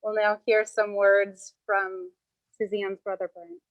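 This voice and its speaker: Even-toned woman officiating a funeral. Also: mid-range voice, takes it slowly, no echo, low-quality recording. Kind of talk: formal introductions of speakers.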